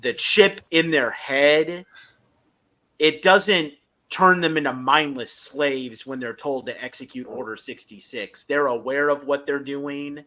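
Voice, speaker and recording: Male podcast co-host, bright forceful voice, casual recap with halting, punched-up emphasis. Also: medium pitch.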